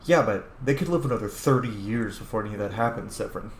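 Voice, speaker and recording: A man's voice: lowish voice, expressive, fast. A man hosting a roleplay let's play, giving informal, reflective game commentary with some swearing. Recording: roomy echo, faint background noise.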